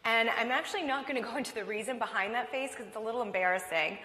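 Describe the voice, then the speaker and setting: A fairly high-voiced woman from Vermont presents at a conference, chatty and anecdotal, leaning on personal stories. Her voice carries a long reverb from the hall around her. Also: quick pace, sharp tone. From Vermont, USA. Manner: conversational, anecdotal, upbeat. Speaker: conference speaker.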